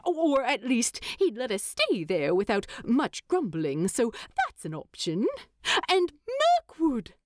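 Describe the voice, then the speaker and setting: A woman voicing an excitable male hobbit in a storybook narration, talking eagerly and persuasively with hesitant rambles. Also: on the high side, slow pace, no echo, clean studio sound, heavily acted. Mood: insistent, hopeful.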